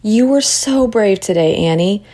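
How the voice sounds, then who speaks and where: A woman voicing a caring schoolgirl in a children's storybook, speaking in a gentle, reassuring way. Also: medium pitch, animated, measured pace, lightly acted. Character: caring schoolgirl comforting her little friend.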